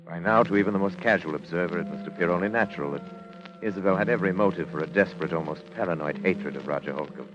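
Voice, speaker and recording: An actor playing a controlling, calculating husband in a 1940s radio drama, speaking in a measured, formal, deliberate way. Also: male voice, deep voice, close to an everyday voice. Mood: cold, formal. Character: controlling, coolly calculating husband narrating his story. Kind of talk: 1940s radio drama acting, first-person narration. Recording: dull vintage 1940s radio recording, music behind.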